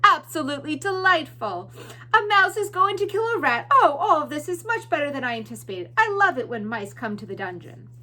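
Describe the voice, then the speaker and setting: A woman's voice: sits high, sing-song, a little fast, sharp tone, voice pushed up, heavily acted. A woman voicing a gleeful, mocking male rat villain in a theatrical storybook read-aloud. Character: gleeful, bloodthirsty old male rat spectator, jeering.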